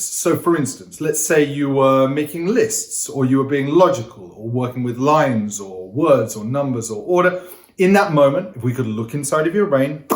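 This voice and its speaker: An upbeat man teaching to camera, talking straight to his audience and coaxing them to take part. His voice sits at an everyday middle pitch. It carries a roomy echo. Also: a little mellow. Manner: upbeat, coaxing, addresses viewers directly. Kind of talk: energetic interactive lesson to camera.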